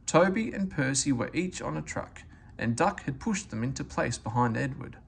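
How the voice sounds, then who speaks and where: Animated, creaky-voiced man reading a storybook. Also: mid-range voice, moderate pace, a little hiss or hum. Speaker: read-aloud narrator. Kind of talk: children's storybook narration.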